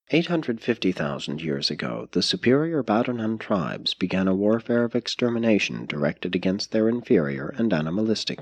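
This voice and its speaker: A man reading a scripted audiobook narration in a measured, formal way with clearly phrased sentences. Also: fairly low pitch, no echo. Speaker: audiobook reader.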